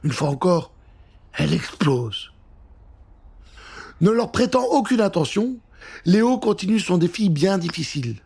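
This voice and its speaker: High-pitched male children's story narrator. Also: sing-song, measured pace, vocal fry, long pauses, darkish tone, breathy edge. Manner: dramatic, suspenseful delivery. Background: music.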